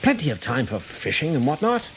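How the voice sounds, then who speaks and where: A pushy newspaper editor in a radio drama. He is hearty, blunt and persuasive, with a widely swinging pitch over faint background noise. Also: huge pitch swings, fairly quick, a bit bright, low-quality recording. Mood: blunt, cajoling. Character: pushy, brisk newspaper editor and old friend. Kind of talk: radio play acting.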